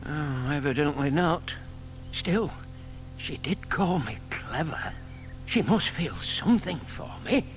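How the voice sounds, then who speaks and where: An actor playing a shy, lovestruck older British gentleman in an audio drama, polite, old-fashioned and eager. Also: on the high side, lively intonation, takes it slowly, slight background noise. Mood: smitten, excited.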